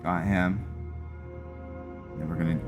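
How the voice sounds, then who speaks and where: Male gaming host, very low register, slow delivery, casual roleplay commentary with game music behind. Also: fairly even intonation. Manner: thinking aloud, narrating decisions. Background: video game music.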